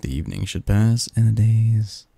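A man playing a snarky young research assistant who fondly teases a friend in a halting, wordy, intimate ASMR roleplay monologue. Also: on the low side, vocal fry, mellow, slightly rough, dry recording. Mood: weary, wry. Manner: halting, sighing, teasing, wordy.

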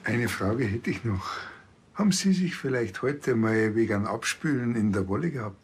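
A man's voice: mid-range voice, animated, moderate pace, a little hiss or hum, natural voice. An actor playing a blunt, wry small-town policeman in a TV crime comedy, casual and curt, with a Bavarian accent.

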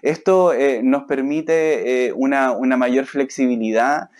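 A Chilean man in his thirties gives an official explanation for a news interview. His medium-pitched delivery is very hesitant, with drawn-out pauses, recorded on a lapel mic indoors. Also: a little mellow. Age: 30s. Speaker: municipal works director. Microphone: lapel microphone.

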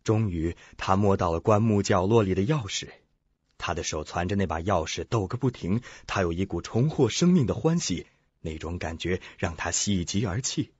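A man's voice: low-pitched, expressive, normal speed, darkish tone, breathy edge, no echo, lo-fi sound. A man narrating a suspense audio drama in a dramatic, scripted storytelling style. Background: music.

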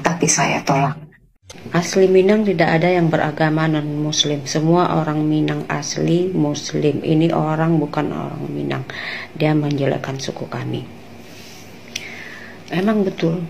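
A woman's voice: sits low, vocal fry, a little hiss or hum. A young woman from West Sumatra, speaking earnestly into a phone camera in a small room and answering critics with rhetorical questions. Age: young adult. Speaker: convert replying to comments. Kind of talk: direct-to-camera reply video. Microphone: phone at arm's length.

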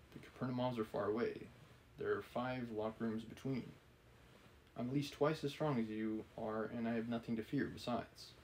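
A man reading the part of an obsessive, menacing gentleman from a novel, insistent and persuasive. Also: medium pitch, close to an everyday voice, low-quality recording. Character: obsessive, menacing gentleman pleading with and cornering a woman. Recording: clearly audible background noise.

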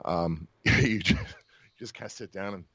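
Low-voiced American man, reflective. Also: normal speed, a bit bright, no room sound, clean studio sound. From Utah and New Mexico, United States. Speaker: podcast guest, actor and army veteran. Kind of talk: casual interview conversation.

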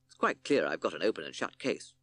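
A man's voice: sits low, sing-song, a little fast, fairly bright tone, full character voice. A pompous owl lawyer, as a man puts him on. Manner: pompous, formal legal speech. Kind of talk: storybook character voice.

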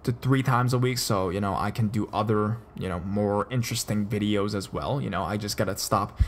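A man's voice: fairly low pitch, fairly quick, slight background noise. A man hosting a podcast, chatting casually and rambling, with frequent stammers and restarts. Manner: loose, chatty delivery with many fillers. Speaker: podcast host.

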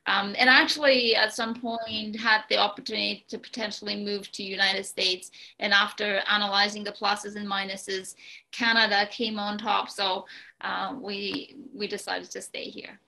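A woman in her forties from Romania, speaking English with a Romanian accent as a guest on a video call, pausing often with ums and restarts. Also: medium pitch, little pitch movement, gravelly, sharp tone, low-quality recording. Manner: hesitant, many ums and restarts. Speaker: guest, real estate broker. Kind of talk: casual interview talk. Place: home room, indoors.

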